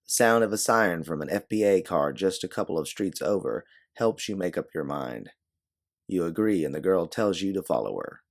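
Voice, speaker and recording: A man's voice: sits low, lively intonation, normal speed, vocal fry. A man reading a scripted second-person story in a dramatic narrating style. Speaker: narrator of an original audio story.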